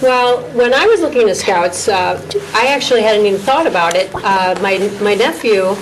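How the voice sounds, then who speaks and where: A woman's voice: mid-range voice, lively intonation, normal speed, slight background noise, poor audio. A woman guest, a scouting parent, talking earnestly on a local TV show, hesitant and full of fillers. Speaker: scout parent and pack organizer. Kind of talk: guest interview answers. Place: small cable TV studio.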